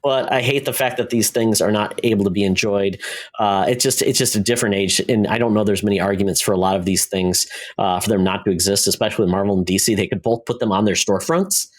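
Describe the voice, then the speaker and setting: A man's voice: on the low side, steady tone, fast talker. A man hosting a podcast, talking casually about pop culture in a conversational way. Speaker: podcast host.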